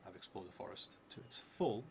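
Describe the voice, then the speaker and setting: Low, creaky-voiced man musing aloud. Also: slight background noise. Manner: halting, musing, trailing into murmurs. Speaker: solo tabletop roleplayer streaming. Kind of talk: thinking aloud while playing and reading out a solo roleplaying game.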